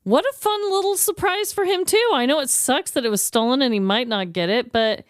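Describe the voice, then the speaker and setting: A woman co-hosting a podcast with casual, expressive banter in an informal, exclaiming way. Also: high voice, fairly quick, no echo, clean studio sound. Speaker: podcast co-host.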